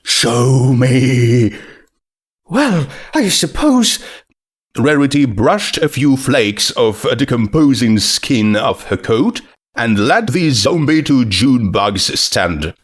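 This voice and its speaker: A man's voice: mid-range voice, animated, slow. A man reading a scripted story aloud as its narrator.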